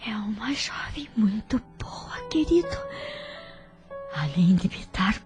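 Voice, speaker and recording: A woman's voice: medium pitch, very animated, takes it slowly, music in the background. A woman playing a frail, grateful dying patient in an audio drama, speaking in a weak, faltering, emotional whisper. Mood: tender resignation.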